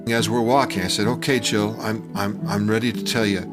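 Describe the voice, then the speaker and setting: An older man telling a personal story from his youth in a conversational, halting and animated way. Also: fairly low pitch. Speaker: storyteller telling his own childhood memory. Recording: music bed under the voice. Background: music.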